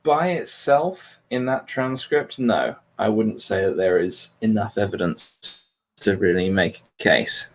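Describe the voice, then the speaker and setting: A man's voice: fairly low pitch, measured pace, rough recording. A man hosting a casual livestream political discussion in an analytical way, qualifying his points carefully. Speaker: livestream host.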